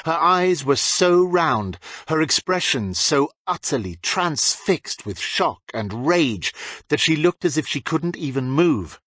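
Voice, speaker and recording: A man's voice: on the high side, very animated, slow, fairly bright tone, no room sound, studio quality. A man reading a dramatic scripted narration as an audiobook narrator.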